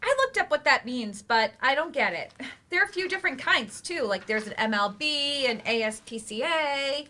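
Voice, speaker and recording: A woman's voice: sits high, lively intonation, normal speed, sharp tone, slight background noise. An actress in a live play reading, playing an earnest, eager mother in an adult remedial class. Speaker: actor in a staged play reading.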